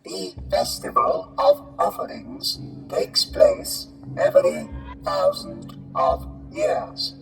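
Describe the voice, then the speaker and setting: An actor voicing a blunt alien market trader with a gravelly, distorted voice and slow, halting delivery, in a fan audio drama. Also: very high-pitched, huge pitch swings, exaggerated voice. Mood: blunt, haggling. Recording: distant, music underneath.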